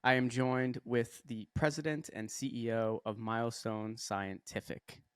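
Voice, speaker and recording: An upbeat male podcast host with a mid-pitched voice, recorded close and dry. He asks questions in a friendly way and stumbles over repeated words while he finds his phrasing. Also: steady tone, a little slow, clean tone, no room sound. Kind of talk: podcast interview.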